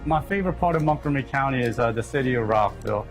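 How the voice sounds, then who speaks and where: Older man, halting plain talk, restaurant interview, faint music. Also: mid-range voice, expressive. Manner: plain-spoken, halting with fillers.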